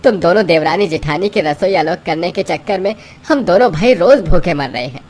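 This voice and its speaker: A woman's voice: on the low side, expressive, a little fast, a little mellow. A woman voicing a hungry, grumbling young husband, tired from office work, in a moral-story cartoon. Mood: angry. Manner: complaining, fed up.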